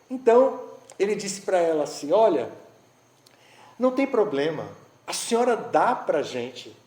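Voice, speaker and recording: A man's voice: high-pitched, mellow. A man giving a conversational devotional talk, telling a story with frequent restarts. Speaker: spiritual teacher giving a talk. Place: eco-village gathering, roomy space. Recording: roomy echo.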